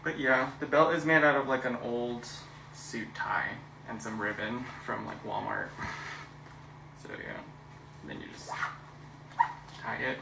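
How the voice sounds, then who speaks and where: A man with a gravelly voice rambles casually about his outfit. He sounds distant in a roomy space, with loud hiss running under the recording. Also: medium pitch, unhurried, long pauses. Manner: relaxed, rambling. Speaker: TikTok creator.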